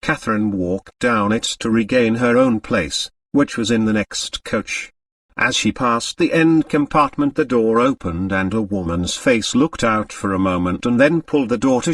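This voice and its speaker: A man's voice: medium pitch, no room sound, studio quality, far from the mic. A man narrating a novel as an audiobook, reading from a script.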